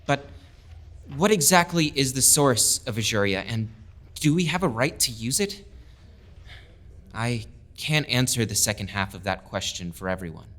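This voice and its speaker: Audio drama lead, male actor: idealistic young lieutenant, oratorical and passionate, a proud outsider, medium-pitched. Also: animated, normal speed, fairly bright tone, close to an everyday voice. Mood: earnest, rousing. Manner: impassioned, oratorical. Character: earnest young male military lieutenant, proud outsider pleading his cause. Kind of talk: full-cast audio drama speech.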